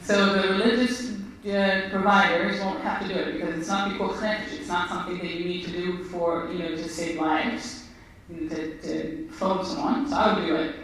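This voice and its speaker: A woman's voice: lowish voice, little pitch movement, moderate pace, fairly bright tone. Woman, echoey room. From Israel. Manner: earnest, reflective. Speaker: physician guest. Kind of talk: personal storytelling in conversation. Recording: echoey room, distant mic, faint noise.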